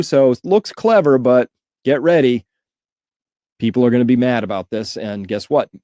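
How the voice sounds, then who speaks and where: A man's voice: mid-range voice, dark tone, no room sound, clean studio sound. A man giving informal, opinionated news commentary as a host, emphatic and alarmed, repeating words for emphasis.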